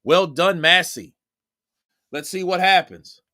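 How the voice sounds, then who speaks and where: A man's voice: sits high, takes it slowly, sharp tone, faint music behind. A young man doing casual, profane live-stream commentary on boxing and chatting with his viewers. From Texas, United States.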